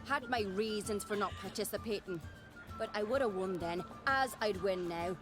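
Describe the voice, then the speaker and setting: A woman's voice: mid-range voice, moderate pace, music in the background, natural voice. A woman playing a bold, competitive young island warrior in a fantasy game, brash and taunting. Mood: confident, challenging. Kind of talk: cinematic fantasy game acting.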